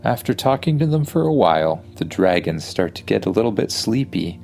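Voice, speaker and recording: A man's voice: on the low side, big pitch swings, brisk, gravelly, darkish tone, soft background music. A man telling an improvised bedtime story for kids in an informal, soothing way.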